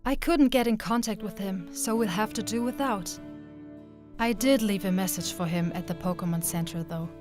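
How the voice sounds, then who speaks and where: A woman playing an earnest, expressive young female trainer in an anime-style manga dub. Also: medium pitch, moderate pace, natural voice. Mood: gentle, earnest. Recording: music under the voice. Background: background music.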